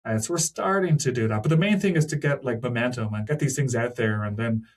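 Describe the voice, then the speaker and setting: A man's voice: medium pitch, quick pace. A man speaking as a podcast guest and senior engineer, explaining technical work in an informal, discursive way with frequent asides. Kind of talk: casual technical interview talk.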